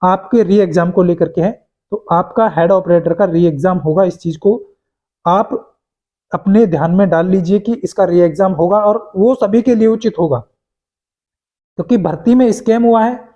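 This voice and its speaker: A man's voice: high voice, normal speed, warm tone. A man explaining exam news to viewers informally, repeating himself and mixing in many English words. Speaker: host of exam news updates for job candidates. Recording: dull, muffled lo-fi sound.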